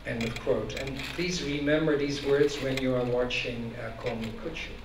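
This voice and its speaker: A man giving a deliberate, emphatic introduction speech at a public event as an advocacy director. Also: medium pitch, steady tone. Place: large indoor event venue with stage. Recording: echoey hall from far off, noisy.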